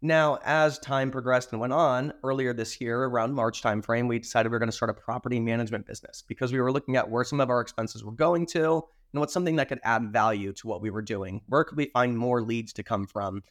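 A man with a hoarse, slightly worn voice speaks at an ordinary pitch. He explains his work in a confident, steady flow, as a guest talking on a podcast. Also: fast, few pauses. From Arizona. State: hoarse from recent illness. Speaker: podcast guest, property management owner. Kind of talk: casual interview answers.

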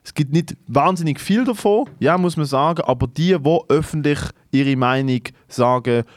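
A man's voice: a little high, normal speed, no echo, studio quality. A man with a Swiss German accent in casual, opinionated debate, swearing and dropping in English slang.

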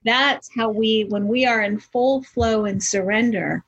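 A woman's voice: fairly high pitch, unhurried, fairly bright tone, breathy edge, dull audio. A woman co-hosting a podcast interview, effusive and affirming, often tagging her points with "right?". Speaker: podcast co-host.

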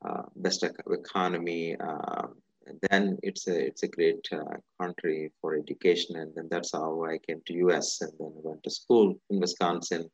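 A man from India with an Indian accent, answering interview questions about himself in halting, fragmented phrases. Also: low voice, fairly even intonation, darkish tone, breathy edge, dry recording, dull audio. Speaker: interview guest, business executive.

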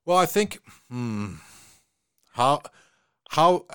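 A man with a Western Canadian accent, talking fast in casual conversation and often repeating his words. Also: on the high side, big pitch swings, fairly bright tone, clear, resonant tone, dry recording, studio quality.

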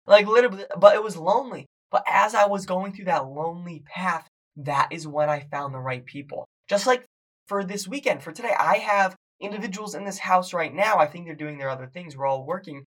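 A hoarse young man, a fitness coach and podcast host, talking energetically to viewers on a live stream as he loses his voice. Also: high-pitched, brisk, poor audio. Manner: hyped, shouting encouragement to viewers.

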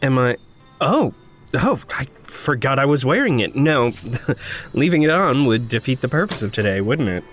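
An earnest, anxious young man in a fiction podcast. His mid-pitched voice moves up and down a great deal as he hesitates politely through his words, with faint music behind him. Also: sing-song, moderate pace, a bit bright. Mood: uneasy, sincere. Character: earnest, awkward, anxious young male magic user. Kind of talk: naturalistic audio drama acting.

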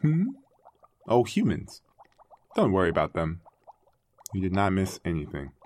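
A man's voice: low-pitched, wildly swooping pitch, measured pace, darkish tone, a little hiss or hum, exaggerated voice. A man playing an aging artificial intelligence, a doting creator-parent musing with theatrical wonder in an audio drama monologue. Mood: musing, reassuring. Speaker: narrator and lead character. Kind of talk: dramatic first-person audio fiction monologue.

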